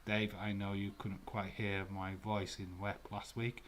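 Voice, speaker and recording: A man's voice: on the low side, completely flat delivery, unhurried, a bit bright, slight background noise. A man with a Glasgow accent hosting a live watch-along stream from a room at home, chatting casually with viewers in a rambling way with many fillers. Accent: Glaswegian. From Scotland.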